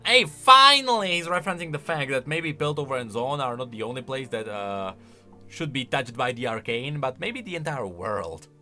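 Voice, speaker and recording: A man giving casual reaction commentary on video game lore, expressive and emphatic as he thinks aloud. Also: mid-range voice, normal speed. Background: music.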